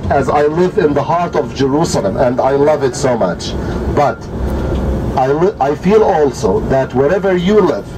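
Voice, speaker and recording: Middle-aged man, tour guide on a bus, fervent preaching manner, distant voice, loud road noise. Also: a little high, expressive, moderate pace. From Greece. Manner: fervent, preacher-like, repeats rhetorical questions. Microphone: handheld mic, heard from camera a few rows back.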